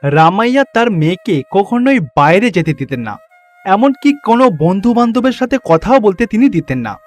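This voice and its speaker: High-voiced man narrating a story. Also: lively intonation, brisk, soft background music. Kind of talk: scripted children's story narration.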